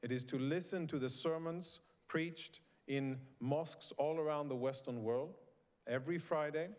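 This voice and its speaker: Measured man giving a conference speech. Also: mid-range voice. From Sweden. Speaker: conference speaker. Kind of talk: prepared speech to a live audience. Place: conference venue in New York.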